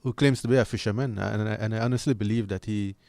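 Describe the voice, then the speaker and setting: A man giving unscripted opinions as a discussion guest, earnest and measured with halting phrasing. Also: on the low side, fairly even intonation, darkish tone, no room sound.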